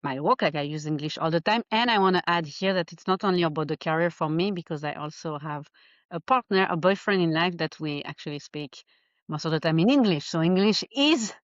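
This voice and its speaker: A woman from Geneva with a Swiss French accent, a life coach chatting in an interview and often pausing to find her words. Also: deep voice, no echo, highs cut off. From Geneva, Switzerland. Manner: animated, laughs often, searches for words. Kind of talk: casual interview chat.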